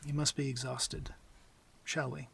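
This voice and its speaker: A man playing a courteous, protective, commanding vampire lord in an intimate ASMR audio drama, speaking softly in measured, formal phrases that often turn whispery. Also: medium pitch, level tone, gravelly, a little hiss or hum. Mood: tender, insistent.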